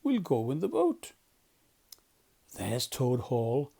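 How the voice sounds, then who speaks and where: A man voicing a good-natured, sensible river rat in a storybook, genial and measured. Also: medium pitch, huge pitch swings, creaky, mellow, full character voice. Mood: obliging. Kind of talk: storybook character voice.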